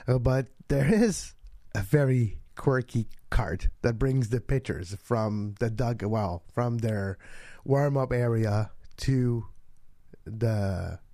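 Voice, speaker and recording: Male co-host, mid pitch, chatty and excitable, close and dry recording. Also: slow pace, no room sound. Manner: chatty, laughs easily, excitable jumps in pitch. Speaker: podcast co-host. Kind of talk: casual sports chat.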